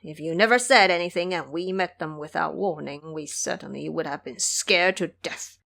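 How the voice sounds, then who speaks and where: A woman's voice: low-pitched, moderate pace, vocal fry, sharp tone, voice pushed down, heavily acted. A woman voicing a timid, courteous king with formal, stately speech in an audio drama. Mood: shaken, formal.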